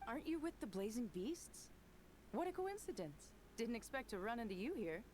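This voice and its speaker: A woman's voice: fairly high pitch, big pitch swings, normal speed, darkish tone, slight background noise. A woman playing a tough, guarded but friendly young desert adventurer in a video game, speaking plainly and easily. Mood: casual.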